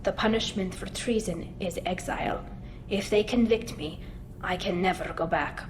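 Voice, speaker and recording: A woman's voice: normal speed, close to an everyday voice. A woman voicing an earnest, anxious young female alien crew member in a video game. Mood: worried, subdued. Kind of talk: video game dramatic dialogue. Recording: echoey, clearly noisy rumbling background.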